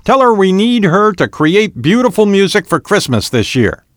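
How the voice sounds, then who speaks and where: A bossy, insistent female heavenly helper from a storybook, put on by a male reader. The voice is high and animated, briskly commanding, in a dry sound. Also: no room sound.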